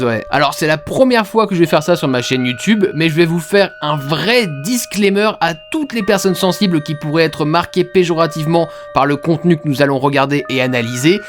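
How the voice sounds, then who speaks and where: A man's voice: fairly high pitch, lively intonation, moderate pace. A man giving casual, slangy horror commentary as a YouTube host, talking conversationally. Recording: music behind the voice. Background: music.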